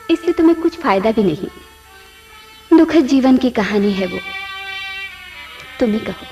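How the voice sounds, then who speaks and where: A bodiless spirit woman in a radio play. Her voice sits high, yearning and dramatic, pleading for love with vengeance underneath, over faint music. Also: far from the mic, lo-fi sound. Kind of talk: Hindi radio play acting.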